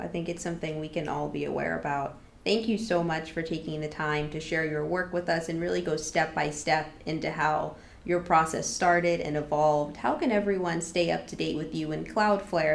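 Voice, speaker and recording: Low, gravelly woman host in echoey room. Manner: friendly, affirming questions. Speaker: podcast host. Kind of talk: interviewing. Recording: echoey room with faint background noise.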